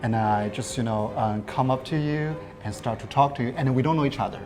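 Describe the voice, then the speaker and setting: A woman's voice: rumbling low, a little fast, music in the background. A woman who teaches Chinese, explaining words patiently in casual chat with many fillers.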